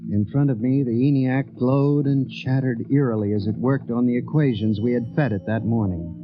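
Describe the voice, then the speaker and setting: An actor playing an earnest, anxious office statistician, with intense, dramatic delivery in the style of 1950s radio drama. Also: male voice, mid-range voice, normal speed, over music, natural voice. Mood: tense narrating. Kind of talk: 1950s radio drama acting, first-person narration. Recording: muffled vintage 1950s radio recording.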